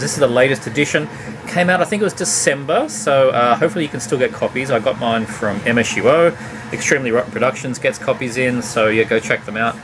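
A middle-aged man chatting casually about his records at home, relaxed and rambling, with many uhs and ums. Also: medium pitch. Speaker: vinyl collection vlogger. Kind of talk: casual record review chat. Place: home room lined with CD shelves. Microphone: camera at desk distance. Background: background hum, faint tape music.

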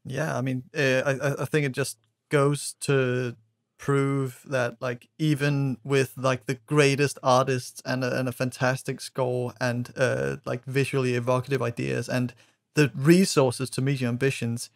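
A man of average pitch talks film on a podcast panel. He is blunt but halting, doubling back on words, in a very dry recording. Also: level tone, creaky, no room sound. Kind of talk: casual film discussion.

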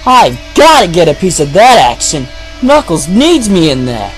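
A man's voice: high-pitched, huge pitch swings, moderate pace, faint music behind, low-quality recording. A man playing a brave young cartoon hedgehog hero in an amateur radio play, earnest and resolute. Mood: determined.

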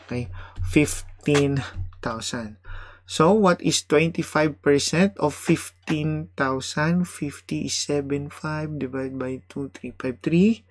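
A man's voice: on the high side, slow pace. A man with a Filipino accent giving an informal explanatory lecture as an accounting instructor, code-switching and often checking in with short tags. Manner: explanatory, mixes Tagalog and English, checks with tags like "okay" and "no". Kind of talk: informal recorded lecture, working through examples.

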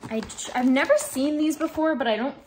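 A woman in her twenties chatting casually in her home kitchen, relaxed and full of ums and likes, filmed by a camera in front of her. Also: high voice, fairly quick, slight background noise, rough recording. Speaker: vlogger. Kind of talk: casual grocery haul chat. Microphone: camera in front, close framing.

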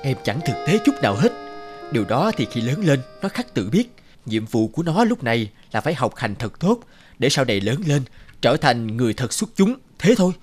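A man voicing an ambitious, strict but loving father in an animated moral story, with a firm, earnest, lecturing manner. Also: mid-range voice, brisk, faint music behind, close to an everyday voice. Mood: disapproving.

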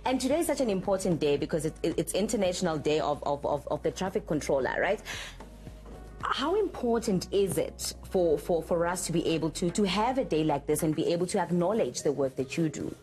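Chatty woman TV host, low voice. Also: a little fast, faint music behind. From South Africa. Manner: chatty, stammering repeats, lively rises. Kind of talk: TV morning show interview. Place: TV studio set.

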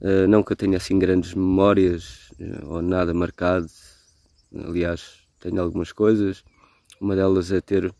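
A man in his forties with a Lisbon accent, reminiscing haltingly and reflectively in a personal video message recorded outdoors on a phone. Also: low-pitched, warm tone, no echo, studio quality. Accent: European Portuguese, Lisbon. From Lisbon, Portugal. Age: 40s. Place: outdoors in a garden. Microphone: phone at arm's length.

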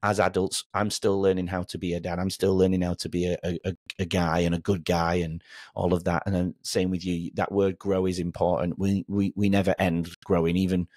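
A male podcast host in his forties with a very low voice. He talks conversationally and affirms his guest as he goes. The sound is dry and close, as from a small home studio. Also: flat delivery, a little fast, a little mellow, no echo. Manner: encouraging, affirming. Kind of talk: warm conversational interview.

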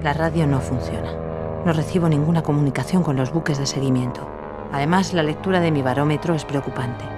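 An actress plays a determined woman aviator of the 1930s in an audio drama. She speaks with spirited assurance, and music runs clearly behind her voice. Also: deep voice, brisk, natural voice. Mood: focused. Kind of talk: scripted audio drama acting and narration.

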